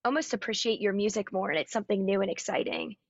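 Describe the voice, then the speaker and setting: Raspy-voiced woman, chatty and upbeat. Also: mid-range voice, animated, quick pace, no room sound. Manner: upbeat, chatty, frequent ums and likes. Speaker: guest singer-songwriter. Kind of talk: casual interview chat. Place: Nashville area. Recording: dull, dry lo-fi audio.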